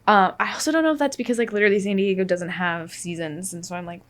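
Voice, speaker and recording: A woman's voice: medium pitch, fast. A young woman co-hosting a podcast, rambling in casual chat full of fillers. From small-town America. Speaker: podcast co-host.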